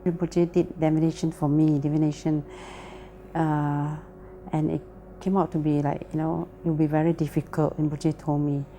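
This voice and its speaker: A woman's voice: low-pitched, little pitch movement, normal speed, dark tone, a little hiss or hum. A woman with a Malaysian English accent giving a plain, earnest personal testimony. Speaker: testimony speaker.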